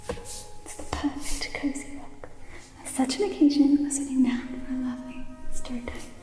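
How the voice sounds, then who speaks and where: A woman playing a gentle, whimsical elf mage in a fantasy ASMR roleplay, speaking in a soothing, softly theatrical whisper. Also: high voice, level tone, normal speed, close to an everyday voice. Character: gentle whimsical female elf mage hosting in magic tent. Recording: close whispered voice over music. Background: music.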